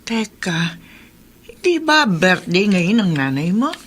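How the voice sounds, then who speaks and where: A helpful middle-aged Filipina neighbour in a radio drama. Her bright voice swoops widely in pitch as she talks with animated concern. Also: takes it slowly, exaggerated voice. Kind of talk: Tagalog radio drama acting.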